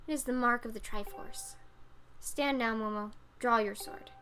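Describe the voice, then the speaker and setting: A woman voicing an earnest, solemn young goddess-reborn heroine in a video game, measured and slightly halting. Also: on the high side, steady tone, fairly bright tone, close to an everyday voice. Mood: solemn blessing. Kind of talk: video game dialogue read-aloud. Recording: music behind the voice.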